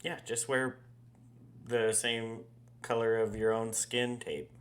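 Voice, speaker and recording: A man's voice: medium pitch, flat delivery, takes it slowly, clear, resonant tone. A man co-hosting a podcast in casual chat, rambling, swearing and laughing often. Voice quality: ginger-haired dog owner talk, married. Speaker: podcast co-host.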